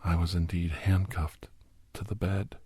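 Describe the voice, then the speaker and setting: Low, very breathy man narrating softly. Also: steady tone, unhurried, no echo. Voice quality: breathy, whispery. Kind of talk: ASMR first-person story narration.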